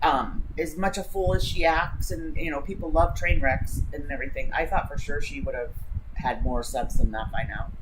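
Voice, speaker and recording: A woman's voice: sits low, steady tone, normal speed, background noise. A woman hosting a livestream, chatting casually with viewers in a rambling way and losing her thread. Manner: rambling, loses train of thought. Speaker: livestream host.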